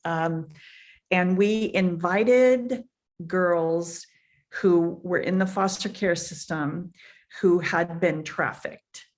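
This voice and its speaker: Slow, emphatic older woman storyteller. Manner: emphatic, booming voice, repeats phrases while thinking. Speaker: podcast guest, foundation founder. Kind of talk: conversational storytelling.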